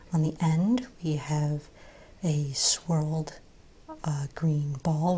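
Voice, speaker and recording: A woman speaking softly and breathily, often nearly whispering, as a gentle, unhurried, reassuring shopkeeper in an ASMR role play. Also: sits low, breathy edge. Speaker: ASMR role-play performer as wand shop owner. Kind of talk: soft-spoken ASMR role play, improvised sales patter.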